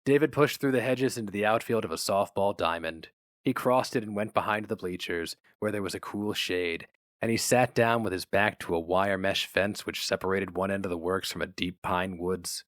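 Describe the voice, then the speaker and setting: A man's voice: low voice, moderate pace, a bit bright, dry recording, clean studio sound. A man giving a dramatic narrated reading of a short story in a scripted storytelling style. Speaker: story reader. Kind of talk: dramatic audiobook-style narration, acting out characters.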